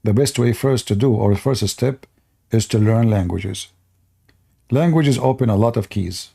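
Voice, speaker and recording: A man with an Arabic accent explains at length on a live stream, circling back and repeating his points. His voice is husky with a gravelly edge and a dark, mellow tone. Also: fairly low pitch, a little slow. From Middle East. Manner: unscripted explaining, with fillers and repetition.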